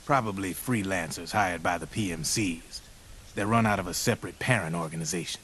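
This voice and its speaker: An American actor playing a slick, talkative arms dealer in a video game cutscene, with streetwise, theatrical, drawn-out phrasing. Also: male voice, deep voice, a little hiss or hum. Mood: confiding. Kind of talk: video game cutscene acting, explanatory monologue. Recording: loud music over the voice.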